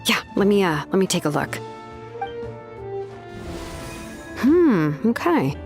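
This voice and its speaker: A woman's voice: medium pitch, sing-song, normal speed. An actress playing a laid-back young female artisan in a video game, speaking in a casual, matter-of-fact and slightly hesitant way. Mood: focused. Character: laid-back, businesslike young woman, master name forger. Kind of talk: video game character acting. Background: music.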